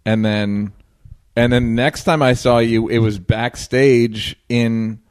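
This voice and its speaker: A man's voice: medium pitch, fairly even intonation, slow pace, clean tone. A man co-hosting a comedy podcast, tossing in dry, wry asides. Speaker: podcast co-host. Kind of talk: casual banter.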